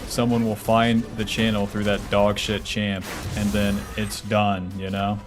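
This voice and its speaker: A man's voice: fairly low pitch, completely flat delivery, unhurried, over music. A man in his twenties streaming a game, talking casually and bluntly, cursing freely and answering chat questions aloud. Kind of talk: casual livestream chat while playing.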